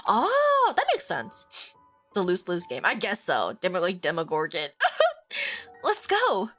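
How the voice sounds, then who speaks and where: A young woman streaming a romance game, congested from a cold, reacting excitedly between stretches of reading the game text aloud. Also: on the low side, measured pace, soft background music. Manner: excitable, swearing, swings between loud outbursts and quiet reading. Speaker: streamer and vtuber.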